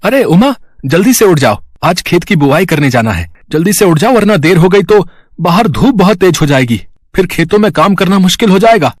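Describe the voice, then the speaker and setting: Casting: male actor as grumbling farmer husband for cartoon fable, lightly husky tenor, close dry recording. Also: high voice, big pitch swings, brisk, dry recording. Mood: urgent. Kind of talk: animated moral story acting.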